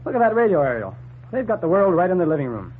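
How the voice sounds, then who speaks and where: An actor playing a brisk, wisecracking newspaper reporter in a 1940s radio drama, breezy and bantering. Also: male voice, sits high, very animated, slightly rough, exaggerated voice. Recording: tinny, noisy 1940s radio recording.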